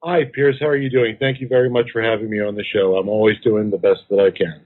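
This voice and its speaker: Husky low-voiced man on a phone line. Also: fairly even intonation, quick pace. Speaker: guest, activist and researcher. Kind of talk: interview answers.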